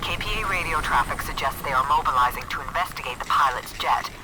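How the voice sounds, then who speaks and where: An actor playing a stern, authoritative military commander giving brisk, official mission briefings in a video game. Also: male voice, a little high, very noisy, close to an everyday voice. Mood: grave, urgent. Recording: loud background music.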